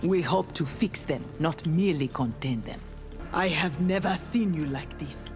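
An actress playing a proud, earnest young armored ninja woman in a video game, speaking in formal, measured, resolute lines. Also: sits low, music in the background. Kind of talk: dramatic video game dialogue.

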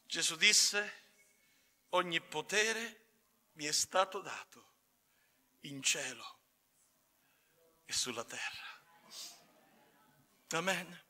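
A male evangelical preacher with a high, piercingly bright voice delivers a sermon in a reverberant hall. His delivery is emphatic and fervent, built in deliberate phrases. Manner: emphatic, swinging between measured phrases and fervent shouts. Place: church hall, indoors at a pulpit. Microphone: pulpit gooseneck microphone.

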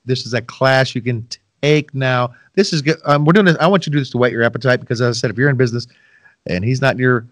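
A man's voice: mid-range voice, fast talker, dry recording. A middle-aged man hosts a podcast from home over a video call, talking into a headset mic. He rambles and makes self-deprecating asides to his listeners. His voice sits mid-range and sounds close and dry. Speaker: podcast host. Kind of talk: casual interview chat. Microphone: headset with boom mic.

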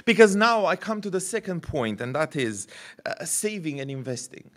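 A man's voice: fairly high pitch, moderate pace. Man in his thirties preaching at a church podium. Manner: animated, gesturing, repeats words when building a point. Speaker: pastor. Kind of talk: sermon. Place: church hall with curtained stage. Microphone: podium mic.

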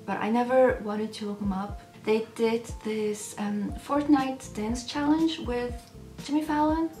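A young woman from Switzerland hosting a casual Q&A vlog from her bedroom, talking to the camera in a chatty, slightly self-conscious way with pauses and restarts. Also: a little high, gravelly, a little mellow, roomy sound, distant mic. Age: young adult. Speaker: channel host. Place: bedroom at home, indoors.

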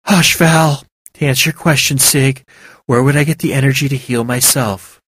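A narrator as a weary, gentle young healer woman. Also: medium pitch, steady tone, moderate pace, husky, no echo, pitched above the performer's own voice. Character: young woman healer, weakened but kind.

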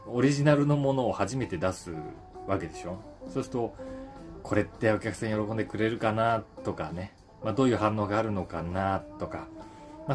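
Low-voiced man chatting reflectively, music behind. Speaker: voice actor and stage actor, channel host. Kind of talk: casual solo talk to camera, daily column.

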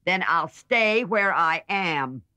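A woman as a blunt, forceful tomboy girl. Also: on the low side, expressive, slow, dry recording, pitched above the performer's own voice, exaggerated voice. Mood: defiant. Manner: blunt, offhand. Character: blunt, careless tomboy teenage sister. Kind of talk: storybook character reading.

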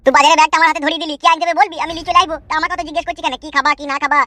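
Man acting grumpy, fast-talking cartoon village husband. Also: very high-pitched, fairly even intonation, pushed and bright, no room sound. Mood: angry, bickering. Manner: bickering, blunt, repetitive. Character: grumpy, foul-mouthed village husband who wheedles his wife. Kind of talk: cartoon comedy dialogue.

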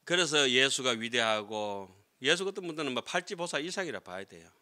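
Very bright-voiced middle-aged monk lecturing. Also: male voice, mid-range voice, animated, a little fast. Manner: conversational, explanatory, frequent tag questions to listeners. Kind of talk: classroom lecture on Buddhist scripture. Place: lecture room with seated audience, indoors. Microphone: desk gooseneck microphones.

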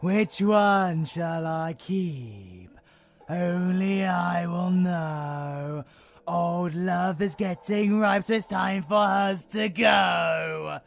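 A man's voice: high voice, drawn-out words, creaky, soft background music, full character voice. A British man playing a sadistic, taunting monster supervillain in an audio roleplay, savouring words in a sing-song manner. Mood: gleeful mocking. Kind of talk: audio roleplay villain acting.

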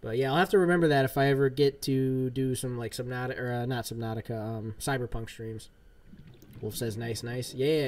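Laid-back man chatting on a game stream. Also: mid-range voice. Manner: laid-back, rambling, many fillers. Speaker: livestream gamer. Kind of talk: casual livestream chat while playing. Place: home gaming room.

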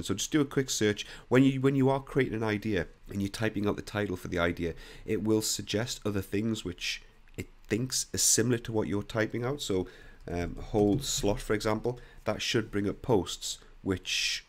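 A young man with a croaky voice, bluntly talking through a CAD software screen recording. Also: fairly low pitch. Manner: blunt, opinionated, conversational. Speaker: CAD software tutorial host.

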